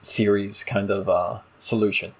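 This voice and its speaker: A man's voice: on the low side, steady tone, unhurried, husky. A man co-hosting a casual discussion show, giving opinionated, exasperated critique in a conversational way. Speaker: podcast co-host.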